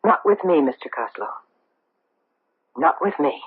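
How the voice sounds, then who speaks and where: An actress playing a guarded, anxious middle-aged socialite with a secret in a 1970s radio drama, speaking in a clipped, defensive, emotional way. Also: female voice, low-pitched, expressive, a little slow, very noisy. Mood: defensive, firm. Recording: muffled tinny old radio broadcast.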